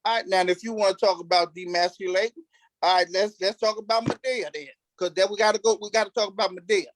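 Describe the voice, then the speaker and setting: A man's voice: high-pitched, fairly even intonation, fast talker, no room sound. A man who acts on stage, talking informally in an interview and repeating phrases emphatically for stress. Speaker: stage play cast member, actor.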